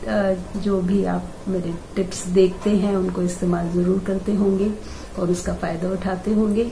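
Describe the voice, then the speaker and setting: An older woman with a hoarse throat hosts a casual home-remedy tutorial, chatty and unhurried, pausing often and clearing her throat. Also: mid-range voice, little pitch movement, dark tone. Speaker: host. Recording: echoey room with faint ambient noise.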